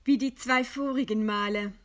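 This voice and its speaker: An actress playing a weary, bitterly ironic woman facing execution, with deliberate dramatic delivery in a German radio play. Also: a little high, fairly even intonation, close to an everyday voice. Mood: weary, resigned.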